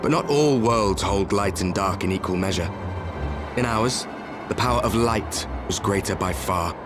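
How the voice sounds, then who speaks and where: Clear music plays under a low voice: a man acting as a grim, world-weary warrior in a fantasy game. He speaks solemnly, with deliberate, measured weight, as one from a doomed world. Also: close to an everyday voice. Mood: solemn, grave. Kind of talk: dramatic video game cutscene acting.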